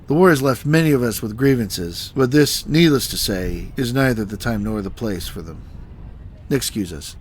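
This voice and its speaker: An actor playing a stern, weary starship captain in a science fiction audio drama, speaking in a measured, articulate way. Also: male voice, mid-range voice, animated, natural voice. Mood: stern, reproving. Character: stern, weary male starship captain, dryly self-doubting. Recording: faint background ambience noise.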